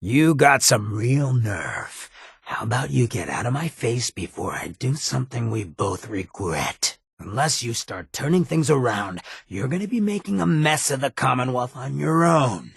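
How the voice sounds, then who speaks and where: Raspy, swaggering ghoul outlaw. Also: male voice, mid-range voice, big pitch swings, measured pace, no echo, studio quality, heavily acted. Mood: irritated, stern. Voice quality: gravelly, raspy rasp. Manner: drawling street slang, cocky and laid-back. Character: roguish ghoul outlaw companion, swaggering, loyal, sardonic. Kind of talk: video game companion dialogue.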